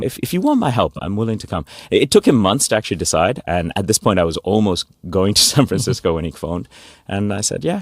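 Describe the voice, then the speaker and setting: Deep-voiced talkative man, dry sound. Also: dry recording. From Canada. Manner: talkative, rambling with restarts. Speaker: guest, technology executive. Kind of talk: conversational interview storytelling.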